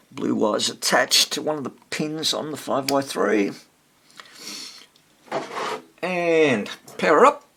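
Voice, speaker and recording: Slow talking guy with a gravelly husky voice, muttering short bits while he tinkers, casual repair video. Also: medium pitch, a bit bright, a little hiss or hum. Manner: unscripted, thinking aloud in short fragments. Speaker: hobbyist radio repairer demonstrating on video. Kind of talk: casual hands-on workbench commentary.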